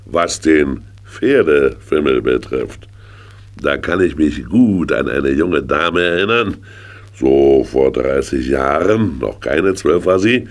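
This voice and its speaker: An actor playing a jovial middle-aged uncle in a children's radio play, teasing and soothing. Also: male voice, extremely low pitch, animated, takes it slowly, heavily acted.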